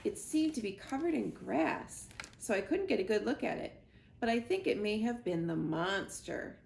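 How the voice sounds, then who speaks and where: A woman's voice: mid-range voice, animated, normal speed, vocal fry, darkish tone, echoey room. A woman voicing a bossy, jumpy male owl who screeches his announcements to a crowd of animals. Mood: announcing.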